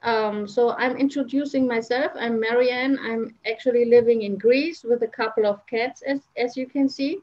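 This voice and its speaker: A woman's voice: a little high, steady tone, moderate pace, few pauses, a little mellow. A woman hosting an online panel, speaking casually over a video call. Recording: muffled internet video call.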